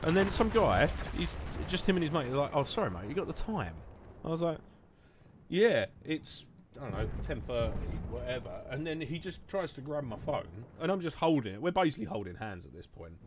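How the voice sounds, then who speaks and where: A man's voice: fairly high pitch, sing-song, brisk, background noise. A man in his late twenties with a southern English accent, chatting casually and sarcastically as he livestreams a game. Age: late 20s. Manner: sarcastic, swearing banter. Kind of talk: casual rambling chat while gaming.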